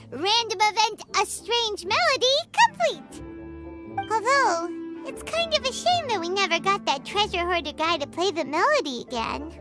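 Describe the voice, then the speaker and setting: A woman's voice: extremely high pitch, full character voice. A woman voicing a tiny, chirpy fairy companion who speaks of herself in the third person, in a game. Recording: game audio with loud music.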